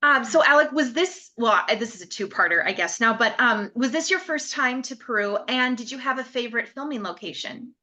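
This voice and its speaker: Bubbly bright-voiced woman co-host. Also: a little high, lively intonation, a little fast, hardly any pauses. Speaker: podcast co-host. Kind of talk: casual podcast chat.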